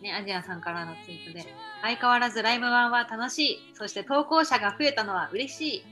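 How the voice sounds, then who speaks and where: A woman's voice: a little high, fast talker, sharp tone, soft background music. A woman co-hosting a radio-style podcast, chatting casually and reading out show announcements.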